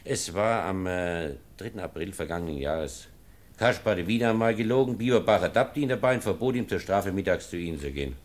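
An actor playing a sceptical, stern aristocratic baron in a German period radio play, with dry, formal, measured speech. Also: male voice, low voice, expressive. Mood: disapproving.

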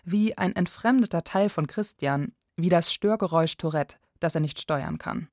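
Low-pitched woman narrating, dry sound. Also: moderate pace, a little mellow, dry recording. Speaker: radio reporter. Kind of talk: scripted radio feature narration.

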